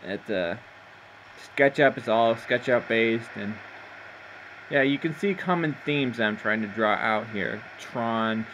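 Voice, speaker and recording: A man's voice: mid-range voice, slow pace, noisy background. A man giving casual, rambling commentary over his own gameplay, amused at himself and losing his train of thought. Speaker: gameplay narrator.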